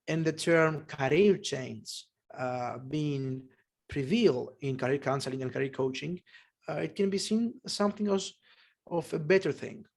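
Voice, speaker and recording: A man's voice: mid-range voice, creaky. A man from Greece with a Greek accent, speaking English as a second language in an interview, thinking aloud with many fillers and restarts. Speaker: guest, polymathy researcher. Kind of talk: conversational interview.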